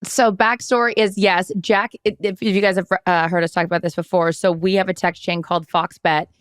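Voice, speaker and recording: A woman co-hosting a podcast in casual chat, her voice hoarse, teasing and playful. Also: on the low side, fairly even intonation, brisk, dry recording, clean studio sound. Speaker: podcast co-host.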